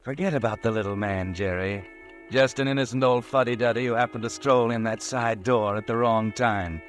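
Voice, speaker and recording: A man's voice: mid-range voice, steady tone, normal speed, faint music behind, close to an everyday voice. A man playing a mocking, ominous narrator who goads the listener in the second person, in a 1940s radio drama. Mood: taunting. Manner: taunting, goading, second-person.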